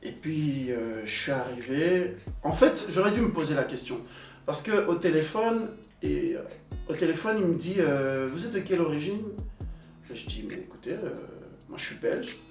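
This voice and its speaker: A young man who grew up in Belgium, telling his own experiences in a relaxed group talk with many fillers and pauses. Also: fairly high pitch. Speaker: panel guest. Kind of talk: casual conversation. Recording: echoey room, distant mic, soft music.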